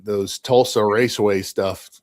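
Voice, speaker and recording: A man's voice: lowish voice, steady tone, measured pace. A man in his fifties from Michigan, talking in a rambling, reflective way in a casual podcast chat. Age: 50s. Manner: rambling, reflective, often says "you know what I mean".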